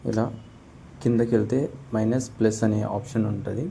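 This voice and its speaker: A man's voice: on the low side, fairly even intonation, moderate pace, vocal fry, dark tone, a little hiss or hum. A young man giving a casual step-by-step gaming tutorial as host.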